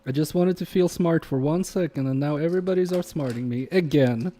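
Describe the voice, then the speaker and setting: Chatty man, mellow voice, Polish native. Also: fairly high pitch, a little fast. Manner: chatty, reads chat aloud, trails off muttering while working. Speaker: livestream repair host. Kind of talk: casual live-stream chat while repairing.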